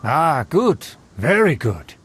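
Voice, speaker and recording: An actor playing a haughty, pompous nobleman in a video game, speaking in theatrical, drawn-out formal phrases. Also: on the high side, sing-song, fairly bright tone, breathy edge, heavily acted. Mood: impressed, lofty. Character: haughty, pompous aristocratic nobleman obsessed with etiquette. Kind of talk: video game character voice acting.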